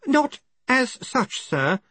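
A man plays a patient wizard academic in a comic fantasy story. He speaks in a high, slightly husky murmur, hesitant and scrupulously polite, always sounding long-suffering. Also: brisk, a bit bright, no echo. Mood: strained patience.

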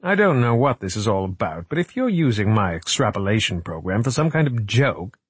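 A man portrays a dismissive younger male colleague in an audiobook. He sounds incredulous and curt, with a husky edge and sharply varied intonation. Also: lowish voice, very animated, fairly quick, no echo. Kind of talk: audiobook character acting.

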